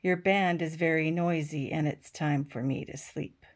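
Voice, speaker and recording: Woman doing a slow sleepy bear. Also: deep voice, steady tone. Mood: grumpy. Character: big sleepy male bear, grumbly then gentle.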